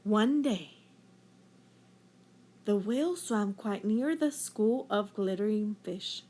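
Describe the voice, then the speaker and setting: A woman teacher reading a children's picture book aloud in an expressive storytelling manner. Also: medium pitch, slow pace.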